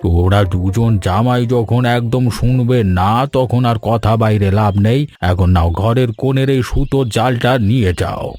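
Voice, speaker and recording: A man plays an older father-in-law in an animated village tale. He is paternal and indulgent, admonishing with patient concern. Soft music sits behind the voice. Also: mid-range voice, normal speed, few pauses, close to an everyday voice. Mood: resigned. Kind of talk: animated folk-tale dialogue.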